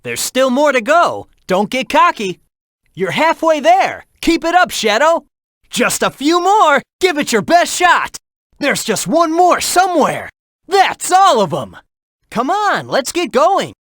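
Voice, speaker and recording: A man voicing a cocky, upbeat young hedgehog hero in a video game, with a brash, casual and energetic delivery. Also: very high voice, dry recording, clean studio sound, heavily acted. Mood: cocky, encouraging. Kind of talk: video game tutorial and encouragement lines.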